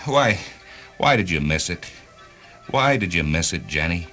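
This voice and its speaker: Man playing a sharp, curt, vengeful driver. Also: low voice, sing-song, measured pace, faint music behind, full character voice. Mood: bitter, sarcastic. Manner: curt, commanding, sardonic. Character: hard-driving, sarcastic, vengeful man ordering his talking car. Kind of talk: radio drama acting.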